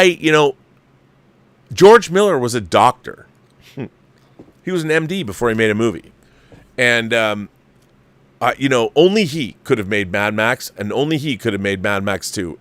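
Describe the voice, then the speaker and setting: A middle-aged American man talks as a livestream host in a medium-pitched voice. He is opinionated and emphatic, often repeating a phrase to drive it home. The sound is dry, with very little room on it. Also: fairly bright tone, no room sound. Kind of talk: casual opinionated movie chat, reading viewer letters aloud.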